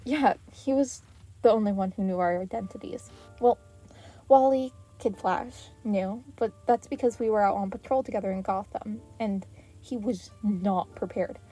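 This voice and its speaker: A woman voicing an earnest, excitable teenage boy hero who gets flustered, in an anime fan story reading. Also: medium pitch, normal speed, faint music behind, natural voice. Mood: fond, reminiscing. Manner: stammering when flustered.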